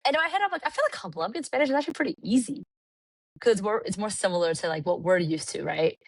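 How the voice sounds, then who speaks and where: A woman from California, a podcast guest, telling travel stories in an animated, casual way with lots of "like". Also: mid-range voice, fast talker, no echo. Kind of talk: casual chat. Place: Puerto Rico.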